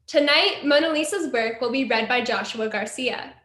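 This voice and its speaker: A woman hosting a poetry reading, reading formal introductions of poets in a measured, polished way. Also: sits high, fairly bright tone. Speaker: event host. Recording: roomy echo.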